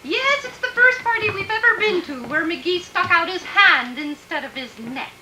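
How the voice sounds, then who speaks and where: A woman as a very high-voiced teasing wife. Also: normal speed. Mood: teasing, amused. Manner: lively, teasing. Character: good-natured teasing wife with dry quips. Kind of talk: 1940s radio comedy acting. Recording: echoey stage, faint noise.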